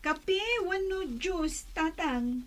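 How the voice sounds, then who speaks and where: Young daughter, high-pitched. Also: female voice, very slow, no echo, full character voice. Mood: polite. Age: young adult. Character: young grown daughter, reluctant and defiant about suitors. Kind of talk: radio drama acting.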